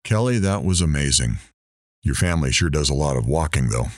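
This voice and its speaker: A man's voice: very deep voice, expressive, a little fast, a little mellow, dry recording. A man playing a kindly, courteous studio host in an audio drama, speaking encouragingly. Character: kindly, appreciative male studio show host. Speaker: show host. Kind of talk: audio drama acting as a presenter.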